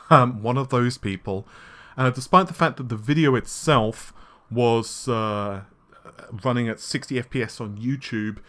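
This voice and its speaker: A man hosting a casual tech news show, his voice slightly scratchy and worn, talking in a chatty way with frequent fillers and quick asides. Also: mid-range voice, fairly bright tone. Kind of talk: casual solo tech commentary. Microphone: laptop recording setup.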